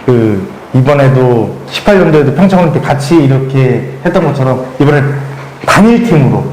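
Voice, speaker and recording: A man's voice: mid-range voice, expressive, a little fast, darkish tone. A young man answering as a panel guest, polite and earnest with some light humor. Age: young adult. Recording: echoey hall with faint noise.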